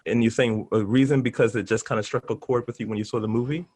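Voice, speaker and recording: A middle-aged man chatting casually as an interview guest, talking in an animated, effusive and rambling way. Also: mid-range voice, fast, no room sound, studio quality. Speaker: interview guest, artist and teacher.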